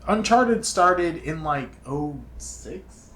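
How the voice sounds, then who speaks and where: A woman's voice: deep voice, expressive, drawn-out words, fairly bright tone. A woman co-hosting a podcast, chatting casually and sometimes searching for words. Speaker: podcast co-host. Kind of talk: casual chat.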